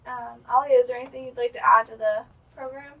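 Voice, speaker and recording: A woman's voice: on the high side, fairly even intonation, fast talker, gravelly, distant mic, rough recording. A young woman from Illinois, an undergraduate research assistant, talking eagerly about her program. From Illinois, United States. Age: young adult. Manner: bright, eager. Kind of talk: casual self-introduction.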